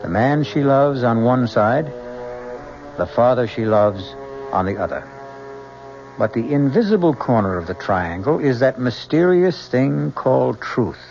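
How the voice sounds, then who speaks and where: A man hosting a radio drama, giving deliberate, dramatic scripted narration in an old-time radio style. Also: medium pitch, lively intonation, vocal fry, a little mellow, a little hiss or hum. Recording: dull old radio recording. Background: music.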